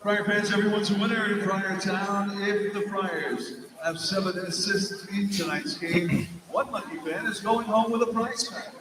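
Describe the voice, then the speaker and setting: A man's voice: fairly even intonation, unhurried, recorded from a distance. A man reading scripted promotional announcements as a public address announcer in a large indoor arena. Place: large indoor arena over loudspeakers, far from mic. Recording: booming echoey arena PA, loud crowd.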